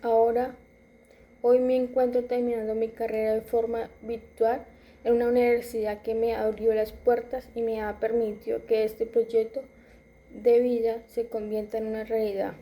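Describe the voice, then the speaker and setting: A woman in her twenties reading a personal story aloud, halting at times and correcting herself as she goes. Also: fairly high pitch, level tone, warm tone. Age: 20s. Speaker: student.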